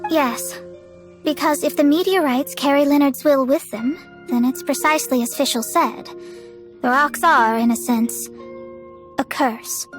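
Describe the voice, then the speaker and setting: An analytical young female astrologer in a video game. Her high voice is confident and articulate as she explains, with soft music underneath. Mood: determined. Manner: brisk, self-assured explaining.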